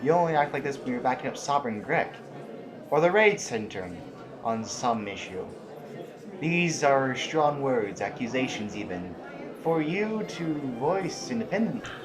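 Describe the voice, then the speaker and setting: A man's voice: medium pitch, big pitch swings, unhurried, crowd noise. A man playing a proud, defiant general in an audio drama, formal and pointed with a sardonic edge. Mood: accusing. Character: proud, principled, defiant male general of rebels.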